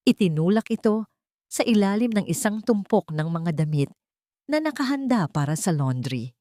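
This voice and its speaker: A woman reads a scripted love story as narrator, in a measured, dramatic storytelling manner. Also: mid-range voice, sing-song, mellow, no echo, clean studio sound.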